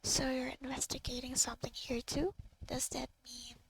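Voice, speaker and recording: A woman's voice: high voice, level tone, moderate pace, creaky, a little hiss or hum, poor audio. A woman giving casual commentary as she plays a game, in murmured, often whispered asides. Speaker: gamer commenting on gameplay. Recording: loud game music behind.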